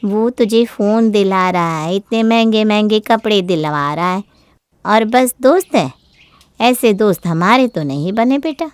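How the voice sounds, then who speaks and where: Woman playing a clear-voiced, fretful scolding mother. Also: medium pitch, expressive, a little slow, dry recording, natural voice. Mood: stern, questioning. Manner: fretful, admonishing. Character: worried, scolding middle-aged Indian mother of a grown daughter.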